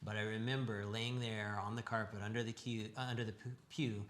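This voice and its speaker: Lower-pitched American man with background noise. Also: little pitch movement, a bit bright. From Tucson, United States. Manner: halting, conversational storytelling. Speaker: guest sharing testimony. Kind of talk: casual personal testimony.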